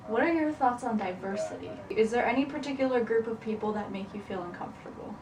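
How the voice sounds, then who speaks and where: Young woman student, playful interviewer, slightly high, far from the mic with light hiss. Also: expressive. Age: young adult. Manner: chatty, teasing, quick to laugh. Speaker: student interviewing her family. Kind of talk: casual home interview with narration. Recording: roomy, distant camera mic, faint hiss.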